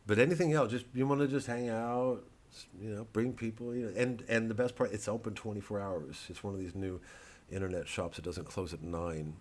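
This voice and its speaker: Low voiced guy rambling to viewers, sweary and off on tangents, comedian chatting casually. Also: steady tone, fairly quick, darkish tone. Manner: irreverent, digressive, profane. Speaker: comedian promoting his show and shop.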